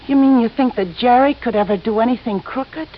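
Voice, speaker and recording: An actress playing a young working-class widow, a defensive gun-moll type, slangy and halting, in 1950s radio drama style. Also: female voice, fairly high pitch, slight background noise, lightly acted. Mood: defensive, wistful. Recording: muffled old radio broadcast.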